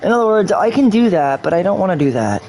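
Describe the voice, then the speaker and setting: A man's voice: sits high, sing-song, quick pace, darkish tone, a little hiss or hum. A man from New York rambling through casual game stream commentary, repeating himself and slipping in and out of a put-on English accent. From New York, USA.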